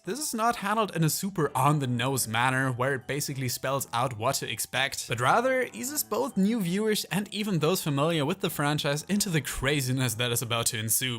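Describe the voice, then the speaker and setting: Bright, higher-pitched man narrating a video essay. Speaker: video essayist, channel host.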